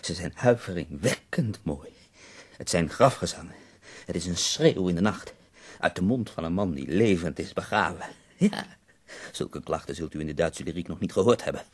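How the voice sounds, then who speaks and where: Intense, gravelly-voiced tormented poet. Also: male voice, rumbling low, a little slow, long pauses, darkish tone, full character voice. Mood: anguished pride. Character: ailing, intense male German poet dictating to a woman. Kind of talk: radio play acting.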